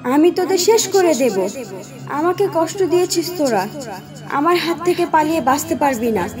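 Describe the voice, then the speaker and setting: A woman voicing a vengeful, harsh-voiced corpse spirit in an animated horror story. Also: very high-pitched, moderate pace, over music. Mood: vengeful, menacing. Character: vengeful female ghost corpse hunting fleeing men.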